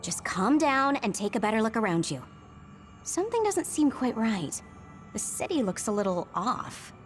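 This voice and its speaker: An actress playing a spirited, earnest young heroine in a video game, with an expressive, concerned manner. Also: female voice, fairly high pitch, moderate pace, soft background music. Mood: puzzled concern.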